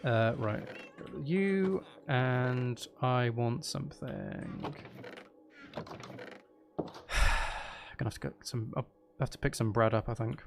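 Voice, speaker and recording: A man's voice: mid-range voice, very animated, slow, vocal fry, long pauses, noisy background. A man hosting a casual gaming livestream, rambling and thinking aloud with playful, mock-dramatic asides. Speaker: livestream host.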